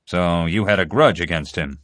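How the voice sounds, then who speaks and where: Audiobook lawman, male narrator in role: authoritative, accusing, measured, low voice, dry sound. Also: a bit bright, dry recording. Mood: firm questioning. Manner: measured, firm questioning. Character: Hoenikker, stern male investigator, controlled, accusing, authoritative.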